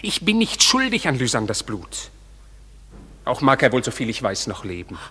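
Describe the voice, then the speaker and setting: An actor playing a wounded, lovesick young Athenian suitor in a radio drama, declaiming verse in a pained manner. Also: male voice, mid-range voice, wildly swooping pitch, moderate pace, pushed and bright, slightly rough, heavily acted. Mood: weary resigned. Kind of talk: classic stage-verse radio drama acting.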